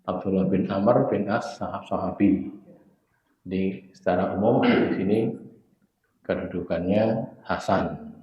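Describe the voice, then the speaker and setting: A hoarse man giving a religious study lesson, explaining narrator chains in a measured, teacherly way. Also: sits low. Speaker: hadith teacher. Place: mosque study room. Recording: echoey room, dull lo-fi sound.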